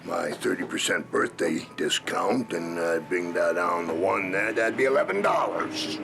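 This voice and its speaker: Odd old pawnshop owner, gravelly, very slow drawl, lowish voice, music behind. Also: male voice, expressive, exaggerated voice. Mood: haggling. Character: eccentric old male pawn shop owner.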